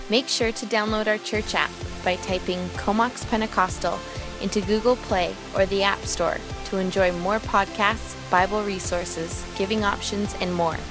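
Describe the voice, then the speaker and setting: Woman announcer, scripted podcast outro, steady mid pitch, music bed underneath.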